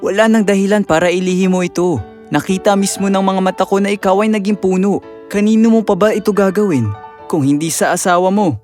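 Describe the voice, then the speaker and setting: A man's voice: high-pitched, fairly even intonation, brisk, a little mellow, soft background music, lightly acted. A man playing an earnest, insistent young prince in a dramatized children's fairy tale. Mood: coaxing, insistent.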